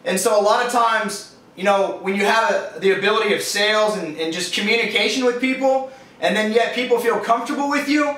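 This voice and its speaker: A man's voice: high-pitched, fairly bright tone. A man in his twenties with a Californian accent, answering interview questions in a casual, rambling way with slangy phrasing. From California, USA. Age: 20s. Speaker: interviewee, fitness business owner. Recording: echoey, distant microphone.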